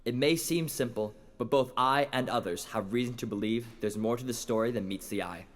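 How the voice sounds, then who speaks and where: A man playing an earnest, puzzled lecturer in an audio drama, speaking formally and deliberately. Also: medium pitch, natural voice. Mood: earnest, intrigued. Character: puzzled, earnest lecturer presenting a maritime mystery. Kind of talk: scripted audio drama monologue.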